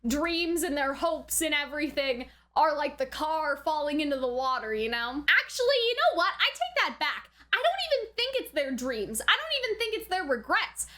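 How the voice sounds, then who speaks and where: A woman giving casual, chatty anime reaction commentary in an animated way, often adding "like" and checking in with the listener. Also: high-pitched. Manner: chatty, animated, frequent "like" and "right?". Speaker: anime reviewer.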